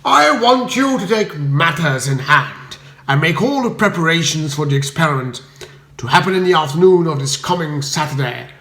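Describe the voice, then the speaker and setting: A blustering, domineering lord from a comic storybook, as played by a male reader. He declaims pompously and pitches his voice high. The sound is noticeably roomy. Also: expressive, moderate pace, fairly bright tone. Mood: pompous satisfaction.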